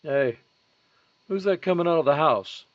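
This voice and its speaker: An actor playing a blunt, dry police lieutenant in an old-time radio drama, terse and matter-of-fact. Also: male voice, on the high side, animated, moderate pace, lightly acted. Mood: watchful. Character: blunt, dry, fair-minded male police detective lieutenant. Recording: dull, lo-fi audio.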